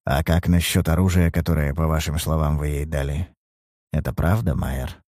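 A man voicing a blunt, cool-headed private detective in a low, quiet voice. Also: steady tone, moderate pace, no echo, clean studio sound.

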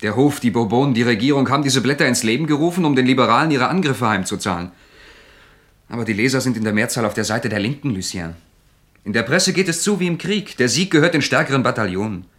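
Actor as a blunt, fast-talking man. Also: medium pitch, lively intonation, a bit bright, close to an everyday voice. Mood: insistent. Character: blunt, principled male friend warning fervently. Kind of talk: German radio play acting.

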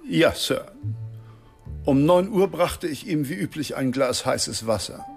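A man's voice: a little high, expressive, a little slow, gravelly, soft background music. An actor playing a formal, deferential butler in a German radio drama, stiff and precise in his answers. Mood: formal, dutiful.

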